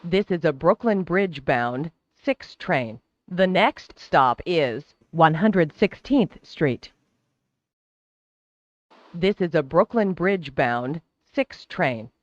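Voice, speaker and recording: A train announcer delivering scripted transit announcements in a formal, measured, clearly enunciated way. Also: medium pitch, expressive, no echo, dull audio. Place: recorded train public-address announcement.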